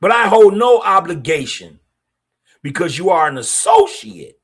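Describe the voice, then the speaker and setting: A middle-aged man hosts a podcast monologue. His voice sits a little high for a man and swings widely in pitch. He speaks bluntly, with street slang and strong opinions. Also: big pitch swings, measured pace, slightly rough. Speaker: podcast host.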